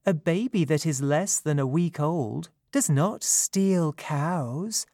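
A storyteller voicing a gentle female mountain fairy from a myth, in a sweet, soft voice. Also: expressive, slow, dry recording, studio quality. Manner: sweet and gentle, a little higher.

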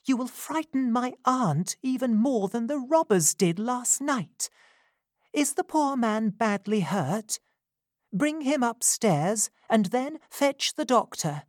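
A woman reading a storybook and voicing a kind, gentle young woman who speaks softly and pleadingly. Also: fairly high pitch, expressive, takes it slowly, no echo, studio quality, pitched above the performer's own voice. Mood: tender.

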